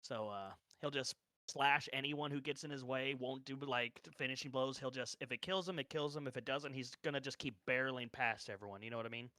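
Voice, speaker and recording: A man's voice: medium pitch, fairly quick, bright, forceful tone, no room sound. A man casually improvising narration as a player in a tabletop roleplaying game.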